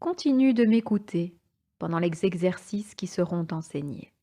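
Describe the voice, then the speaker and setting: A woman instructor leading a guided relaxation meditation in a calm, soothing manner, with unhurried pauses between short phrases. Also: lowish voice. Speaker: yoga nidra instructor.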